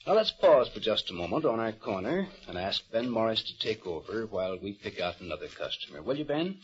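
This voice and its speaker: A man's voice: fairly low pitch, very animated, brisk. A man hosting an old-time radio program, interviewing and narrating in a folksy, conversational manner. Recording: dull, muffled old radio recording.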